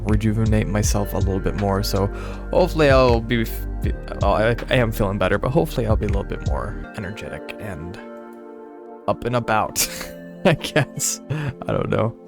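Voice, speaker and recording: Young male streamer, low gravelly voice, rambling and hesitant delivery, faint music behind. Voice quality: slightly stuffy. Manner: rambling, hesitant, frequent laughs and fillers. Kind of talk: casual gameplay commentary.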